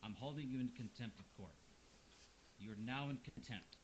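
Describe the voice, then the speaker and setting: A man roleplaying a judge in a casual court hearing, stern and halting, often repeating his words. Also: medium pitch, a little hiss or hum, poor audio.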